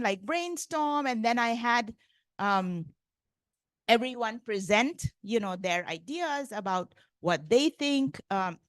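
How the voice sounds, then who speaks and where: A woman from India lectures at a conference in a chatty, loose way, scattering "like" and "you know" through her sentences. Her voice sits a little high. The sound is dry and close. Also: fairly bright tone, no room sound. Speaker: professor lecturing.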